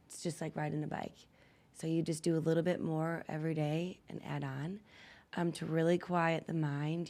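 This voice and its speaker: A woman's voice: low voice, creaky, a little mellow. A woman speaking as a podcast guest and lifestyle coach, giving advice in a conversational way.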